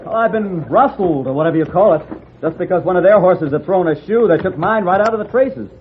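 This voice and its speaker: A man's voice: high voice, animated, fairly quick. An actor playing a naive, indignant young New York businessman in a 1950s radio Western. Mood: indignant, puzzled. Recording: tinny, noisy old radio broadcast.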